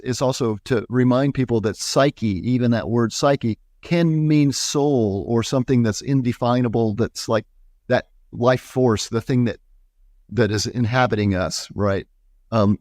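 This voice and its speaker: A man in his sixties, a pastor being interviewed, talking in an earnest, thoughtful and halting conversational way. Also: mid-range voice, a little mellow, dry recording, clean studio sound. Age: 60s. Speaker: pastor and podcast guest.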